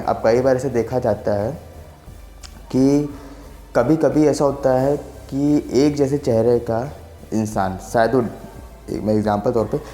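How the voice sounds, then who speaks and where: Mellow-voiced young man explaining, echoey room. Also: medium pitch. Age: 20s. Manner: rambling, repeats filler checks for agreement. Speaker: science explainer channel host. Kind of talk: casual explainer talk to camera.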